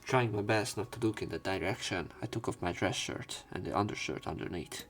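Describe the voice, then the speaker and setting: Low, gravelly man reading a story. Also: brisk, fairly bright tone, faint music behind. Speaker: let's player. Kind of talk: dramatic read-aloud narration of a visual novel.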